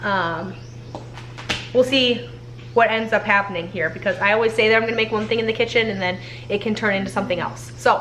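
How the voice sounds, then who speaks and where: A woman's voice: mid-range voice, a little fast, a bit bright. Young woman, chatty and upbeat, slightly congested, echoey kitchen, faint background noise. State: slightly congested from a head cold. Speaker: homestead cooking vlogger. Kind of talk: casual kitchen vlog chat. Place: home kitchen.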